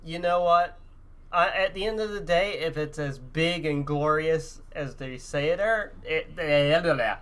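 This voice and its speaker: A man's voice: on the high side, measured pace, a bit bright. A man co-hosting a podcast in a casual, chatty gaming-news style. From Canada. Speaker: podcast co-host.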